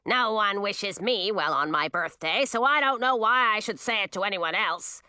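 A woman's voice: medium pitch, expressive, normal speed, bright, forceful tone, smooth, no echo. A woman voicing a grumpy old witch in a storybook, sour and snide, grumbling and muttering her words.

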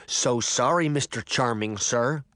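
A man's voice: medium pitch, unhurried, fairly bright tone, no room sound, lightly acted. A man doing a meek little assistant. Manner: meek, deferential. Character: meek little male assistant, eager to please.

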